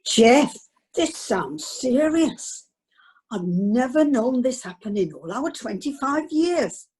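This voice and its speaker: A woman's voice: sits high, expressive, takes it slowly, darkish tone, slightly rough, full character voice. A woman playing a grudging middle-aged wife on a cruise in an amateur radio drama. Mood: concerned.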